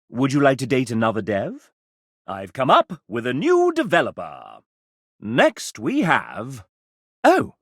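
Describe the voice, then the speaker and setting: A man's voice: mid-range voice, a bit bright, no echo, clean studio sound, heavily acted. An actor playing a theatrical, fussy and sardonic man, a video game show host with an arch, dramatic manner. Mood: arch announcing. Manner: arch, dramatic, drawn-out phrasing with big swoops.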